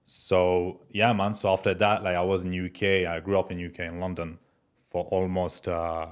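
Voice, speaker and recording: A young man from France, a guest entrepreneur, talking about his life in a relaxed interview full of fillers like "bro" and "man.". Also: low-pitched, moderate pace, clear, resonant tone. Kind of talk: casual interview chat.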